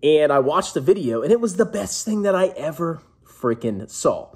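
A man's voice: fairly high pitch, sing-song, normal speed, slightly rough. A man in his thirties talking casually to camera like a jokey, brash showman, making an emphatic announcement. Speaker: personal finance vlogger, host. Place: small indoor room at home.

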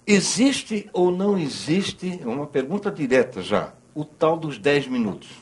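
Husky joking Brazilian cooking host. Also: male voice, fairly high pitch, very animated, a little slow. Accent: Brazilian Portuguese. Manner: joking, rambling, self-interrupting; cooking at the counter while talking. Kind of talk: casual cooking-show chat. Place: TV studio kitchen set, indoors.

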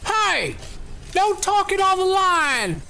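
A woman's voice: very high-pitched, big pitch swings, takes it slowly, creaky, bright, forceful tone, slight background noise, exaggerated voice. A woman acting in an audio drama as a cruel, bullying prison guard with a taunting, barking manner. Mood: barking orders.

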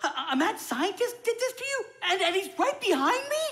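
A woman voicing an eager, excitable boy camper who loves birds, in a cartoon. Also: extremely high pitch, fairly quick, very noisy. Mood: puzzled. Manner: excitable, squealing bird calls. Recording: cartoon dialogue over loud music.

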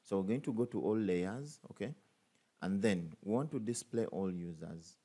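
A man's voice: lowish voice, normal speed, mellow. A man teaching a coding tutorial over a screen recording, thinking aloud with many fillers and restarts. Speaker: programming tutor.

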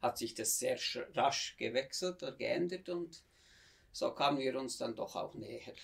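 A man in his fifties from near Bern with a Swiss German accent, a missionary guest telling his story in a measured, reflective way. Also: mid-range voice, creaky. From Switzerland, near Bern. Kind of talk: conversational interview.

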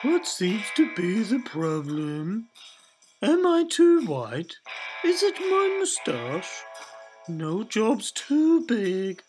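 A woman's voice: medium pitch, huge pitch swings, drawn-out words, a little mellow, heavily acted, poor audio. A woman voicing an eager, overly helpful Swedish muscle-bound male secretary with a moustache and a put-on Swedish accent, speaking in earnest, formal phrasing. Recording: music playing behind voice. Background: music.

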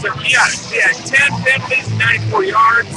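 A high-voiced man gives casual post-game sports commentary as the host of a live webcam stream. He speaks bluntly and colloquially, as a fan would, with music clearly audible behind him. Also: fairly even intonation, fairly quick.

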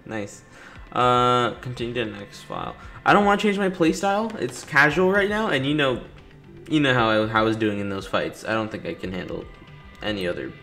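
A man hosts a casual video game playthrough in a medium-pitched voice. He jokes back at the characters and reads captions aloud. Faint game music plays behind him. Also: lively intonation, brisk, hardly any pauses, bright, forceful tone. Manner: joking, reacting to characters, reading captions aloud. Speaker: gaming video host. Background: video game music and sound.

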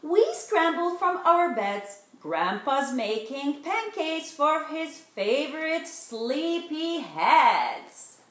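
Slow, high-pitched woman reading to children. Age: middle-aged. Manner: expressive, slow and deliberate, stretching rhyming words. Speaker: storyteller reading a picture book to children. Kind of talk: animated children's read-aloud. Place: living room at home, indoors. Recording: roomy home recording.

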